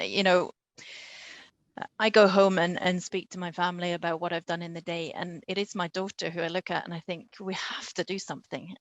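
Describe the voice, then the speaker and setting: A woman's voice: on the low side, a bit bright, no echo. A woman moderating a seminar panel, reflective and slightly hesitant.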